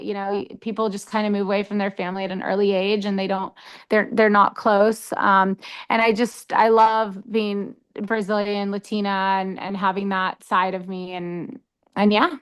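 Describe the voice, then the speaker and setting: Smooth-voiced chatty young woman. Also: medium pitch, little pitch movement, moderate pace, fairly bright tone. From United States. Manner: enthusiastic, chatty with many fillers. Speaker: interview guest. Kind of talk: casual interview talk.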